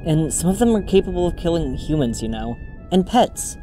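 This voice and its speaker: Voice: mid-range voice, very animated, normal speed, natural voice. An actor playing a fretful, sensible young friend in an audio drama, earnest and mildly exasperated. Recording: music under the voice.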